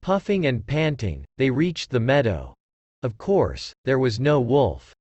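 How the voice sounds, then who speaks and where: A man's voice: mid-range voice, sing-song, a little slow, darkish tone, no room sound, dull audio. A man narrating a scripted story for children.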